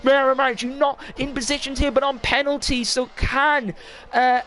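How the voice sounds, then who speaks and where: A sim racing commentator calling a live league race with excitement, voice going strained and hoarse, often quizzing the co-host. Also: sits high, moderate pace. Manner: excitable, asks co-host questions. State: straining, losing voice.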